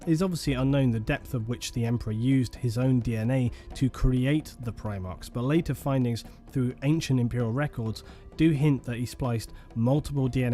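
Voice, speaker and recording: Male narrator for a lore documentary: dark, relaxed voice, steady solemn delivery, faint music bed. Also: mid-range voice. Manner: measured, solemn storytelling.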